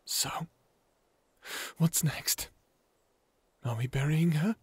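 A heartbroken young man who speaks slowly in a breathy, hushed voice. He talks haltingly, weighed down by grief, in a dry, close audio drama recording. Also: on the high side, no room sound, full character voice. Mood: dreading.

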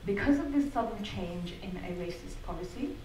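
A middle-aged woman gives a measured, articulate talk with the odd wry aside. Her voice sits at an ordinary pitch and carries from a distance. It echoes around a big theatre, with a faint hum of room noise underneath. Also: animated, darkish tone. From Zambia. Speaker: speaker giving a talk. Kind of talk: prepared public talk. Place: theatre hall. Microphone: venue sound picked up from the back of the hall. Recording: far-off roomy hall capture.

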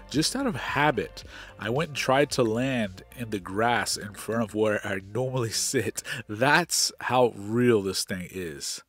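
A man with a mid-range voice gives casual commentary as a hobby video host. Faint music plays behind him. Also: medium pitch. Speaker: drone pilot and channel host.

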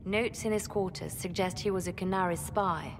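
An actress playing a calm, dutiful female spymaster who speaks in a grave, clipped manner in a video game. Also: lowish voice, moderate pace, close to an everyday voice. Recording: game audio with long reverb and music.

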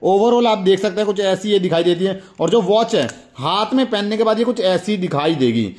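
A man's voice: sits high, lively intonation, moderate pace, a little mellow. A man with an Indian accent hosting a casual hands-on toy demonstration, chatty and repetitive, talking straight to the viewers. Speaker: toy review video host.

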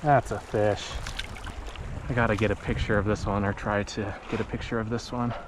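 A man's voice: on the low side, normal speed, noisy background. A man in his thirties narrating his fishing trip outdoors, exclaiming whenever he hooks a fish. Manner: excitable, exclaims at each catch. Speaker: fishing vlogger. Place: small creek in an open mountain valley outdoors. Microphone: head-mounted action camera.

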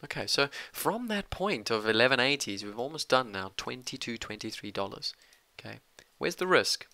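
Crisp, bright-voiced man teaching trading. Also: mid-range voice, big pitch swings, moderate pace, dry recording. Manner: emphatic, punctuates points with "okay". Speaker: trading instructor. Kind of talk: screen-share trading lesson.

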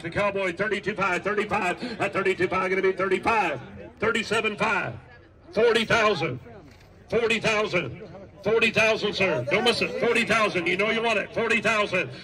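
A man working as an auctioneer, calling bids in a rapid, rhythmic chant at an outdoor estate sale. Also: high-pitched. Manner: rhythmic repetitive bid calling. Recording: distant voice outdoors.

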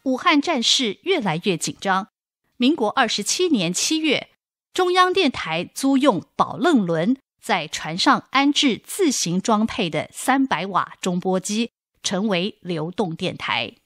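Female narrator, scripted historical narration, lively intonation, dry close sound. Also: medium pitch, measured pace, dry recording.

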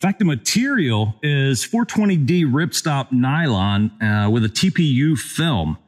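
Relaxed man vlogging on a forest trail. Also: medium pitch, measured pace. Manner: relaxed, conversational, many fillers. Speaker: outdoor gear reviewer. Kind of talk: casual gear review vlog. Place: wooded hiking trail outdoors. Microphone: handheld action camera at arm's length.

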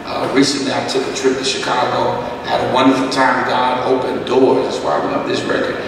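Middle-aged pastor, kinda rough gravelly voice, lots of uhs, big echoey church hall heard from far off. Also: male voice, mid-range voice, little pitch movement. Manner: conversational, halting with many fillers. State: slightly rough voice. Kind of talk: Bible study teaching. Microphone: podium microphone, far camera. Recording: echoey hall, distant mic, background hiss.

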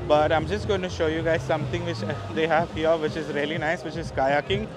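A man's voice: a little high, steady tone, fairly quick. A man vlogging a walking tour of a beach, chatting casually to his viewers with plenty of fillers. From India. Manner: chatty, addresses viewers as guys, many fillers. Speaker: travel vlogger. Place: outdoors on a busy beach. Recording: outdoor noise behind the voice.

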